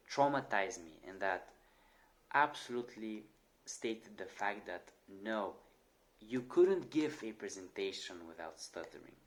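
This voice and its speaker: A young man with a fairly low voice hosts his own channel. He speaks earnestly and reflectively about personal experience. Small stutter-like repetitions and restarts sometimes break his flow. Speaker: channel host. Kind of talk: personal talk to camera.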